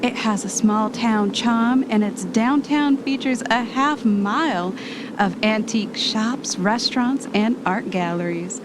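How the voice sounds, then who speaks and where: A woman's voice: measured pace, natural voice. A woman playing an eager, bossy travel podcaster in an amateur audio drama, chatty and ready with an announcer's polish. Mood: polished narrating. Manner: chatty, switches into polished radio voice. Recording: noisy, vehicle rumble behind the voice.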